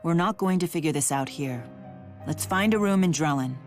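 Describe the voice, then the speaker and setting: A woman playing a resolute, caring young warrior woman in a video game, speaking in a measured, earnest way. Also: deep voice, lively intonation, faint music behind. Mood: serious. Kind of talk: dubbed video game cutscene acting.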